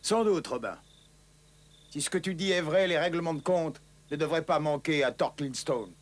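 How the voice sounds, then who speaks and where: Cartoon knightly king male actor, fairly high pitch, authoritative, declamatory, resolute. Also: normal speed, background noise, natural voice. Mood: calm, resolute. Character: commanding, resolute royal leader of knights. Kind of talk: dubbed cartoon acting.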